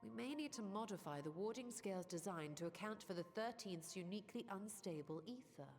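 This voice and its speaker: An actress voicing a composed, scholarly sorceress in a video game, speaking formally and articulately. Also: female voice, medium pitch, lively intonation, a little fast, a little mellow, natural voice. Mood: analytical. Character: scholarly sorceress, composed, eloquent, keen for discovery. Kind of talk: scripted video game voice acting. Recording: long reverb, music behind.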